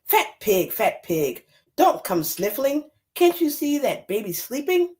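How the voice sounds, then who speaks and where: Anxious young-child's mama for a picture book, woman reader: pleading, hushing, sing-song, swooping intonation. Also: high voice, sing-song, a little slow, voice pushed up. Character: anxious mother hushing animals to protect her sleeping baby.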